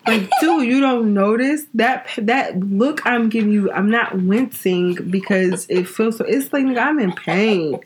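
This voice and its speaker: A woman co-hosting a podcast in casual chat, animated and quick to laugh. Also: on the high side. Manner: animated, laughing, bossy about the bit. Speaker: podcast co-host.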